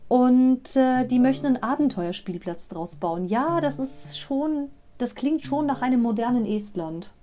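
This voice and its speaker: Woman, high voice, chatty podcast host, digressive storytelling, personal asides, soft guitar music behind. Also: animated, measured pace, hardly any pauses. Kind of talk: fan podcast retelling and commenting on a children's audio drama.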